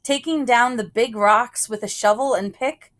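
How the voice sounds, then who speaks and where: A woman reading a children's picture book aloud in a storytelling style, with measured phrasing and deliberate pauses. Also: on the high side, fairly bright tone.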